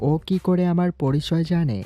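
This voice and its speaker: A man playing a young, coolly ruthless gangster boss in an audio story, speaking calmly and matter-of-factly. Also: a little high, normal speed, dull audio, natural voice. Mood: coolly menacing. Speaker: voice actor in an amateur audio story. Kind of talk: acted audio story dialogue. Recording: background music throughout.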